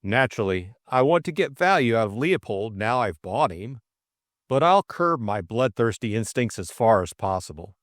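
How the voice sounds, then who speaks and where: A man voicing a jaunty young English gentleman adventurer, flippant and drily boastful in a mock-formal way, for an audiobook. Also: mid-range voice, very animated, moderate pace, no echo, studio quality, natural voice. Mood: breezy.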